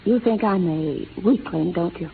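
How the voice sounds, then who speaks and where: An actress playing a gentle, earnest young schoolteacher with proper, sincere speech in an old radio drama. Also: female voice, lowish voice, normal speed, vocal fry, breathy edge, heavily acted. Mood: timid, earnest. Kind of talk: 1930s radio drama acting. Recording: tinny, noisy 1930s radio recording.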